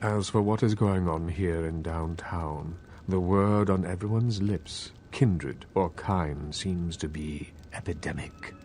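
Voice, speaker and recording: An actor playing a courteous, scholarly vampire regent in a video game, speaking formally with measured, old-fashioned politeness. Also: male voice, low voice, a little mellow, slight background noise. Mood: grave.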